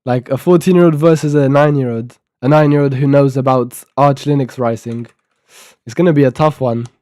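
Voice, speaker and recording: An eighteen-year-old man live-streaming his coding, muttering and thinking aloud as he reads documentation. His voice is mellow and a little rough from a sore throat. It sounds dry and close, with keyboard clicks under it. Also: mid-range voice, fairly even intonation, brisk, no room sound. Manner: mumbling, thinking aloud, reading docs half to himself. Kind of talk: casual live-stream talk while coding.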